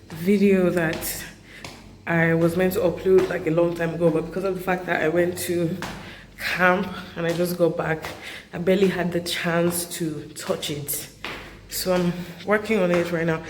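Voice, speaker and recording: Nigerian woman in her 20s, laid-back vlogger, chatty and slow, faint hiss behind. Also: fairly low pitch, darkish tone. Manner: chatty, laughs easily, slow and unhurried. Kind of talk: casual vlog chat to camera. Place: hotel corridors and grounds.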